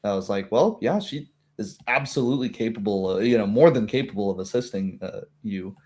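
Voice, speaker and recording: A man talking casually as a tabletop game master, chatty and halting, with a readiness to chuckle. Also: lowish voice, darkish tone.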